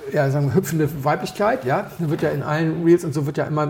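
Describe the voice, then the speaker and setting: Man, from Berlin, slightly high voice, rapid talk, podcast co-host, anecdotal. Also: a little mellow, a little hiss or hum. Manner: rambling, anecdotal, quick asides and self-corrections. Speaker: podcast co-host and wine taster. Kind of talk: casual podcast chat.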